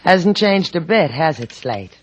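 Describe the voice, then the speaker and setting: Wry American dame, low-slung banter, old radio. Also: female voice, big pitch swings, unhurried. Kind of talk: old-time radio drama acting. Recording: noisy old-time radio recording.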